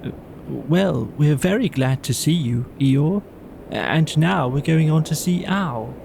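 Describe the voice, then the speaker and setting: A man's voice: fairly high pitch, lively intonation, slow, vocal fry, a little mellow, slight background noise, exaggerated voice. Man voicing a bumbling, hesitant storybook bear. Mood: awkwardly polite. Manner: hesitant, stammering, polite.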